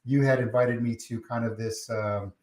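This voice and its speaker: A man hosting a podcast interview, friendly and halting with many fillers. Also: mid-range voice, steady tone, a little mellow. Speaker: podcast host. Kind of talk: casual interview. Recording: echoey room.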